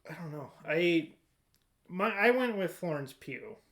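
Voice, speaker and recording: A man's voice: on the high side, expressive, unhurried, fairly bright tone. Casual man chatting on a podcast. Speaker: podcast host.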